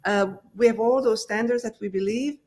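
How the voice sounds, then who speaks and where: A woman's voice: a little high, normal speed, darkish tone. Belgian woman, French accent, hesitant. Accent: French-speaking Belgian. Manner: hesitant, many fillers and restarts. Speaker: interview guest. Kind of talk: casual conversational interview.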